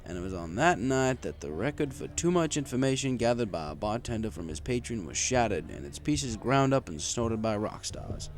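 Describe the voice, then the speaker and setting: A man's voice: mid-range voice, very animated, moderate pace, few pauses. A man reading a first-person story aloud in a wry, conversational way. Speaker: story reader. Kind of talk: first-person scripted fan fiction narration.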